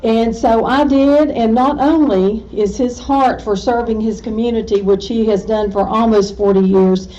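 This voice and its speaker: A woman's voice: fairly high pitch, fairly even intonation, normal speed, hardly any pauses, dark tone. A woman hosting an event gives an effusive, conversational introduction of a speaker to an audience. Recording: dull, muffled audio.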